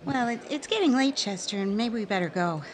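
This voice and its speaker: A woman's voice: fairly high pitch, expressive, a little fast, natural voice, low-quality recording. A woman playing a sweet, fretful young woman on a date in an old-time radio comedy. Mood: worried.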